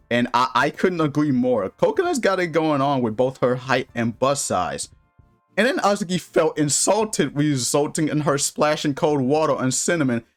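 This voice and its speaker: A man narrating a casual scripted video review in an informal, slangy way with some crude humor. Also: mid-range voice. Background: background music.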